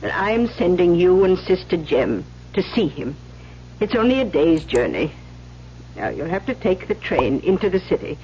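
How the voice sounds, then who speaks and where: An actress playing a stern, weary older Mother Superior, measured and authoritative, in an old-time radio drama. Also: on the low side, big pitch swings. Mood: measured, confiding. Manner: measured, authoritative, cuts others short. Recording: muffled, hissy old radio recording.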